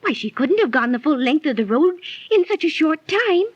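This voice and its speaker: A woman's voice: sits high, sing-song, normal speed, no room sound. An actress playing a nervous, superstitious young village girl, emphatic and dramatic in 1930s radio style. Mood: worried. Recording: dull, dry 1930s radio recording.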